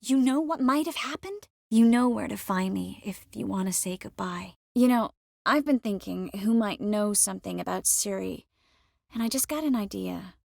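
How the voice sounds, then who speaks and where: An actress playing a poised, caring yet firm sorceress in scripted video game dialogue. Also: female voice, mid-range voice, normal speed, vocal fry, dry recording, clean studio sound. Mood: cool, frank.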